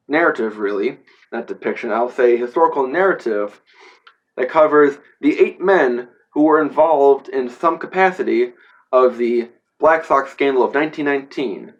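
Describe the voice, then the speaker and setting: A congested man with a cold talks through a movie review the way a teacher would address his class. His delivery is loose and chatty, full of fillers and joking asides, at an ordinary middle pitch. The recording sounds dull and lo-fi. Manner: rambling, with many fillers and playful asides. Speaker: history teacher reviewing a film.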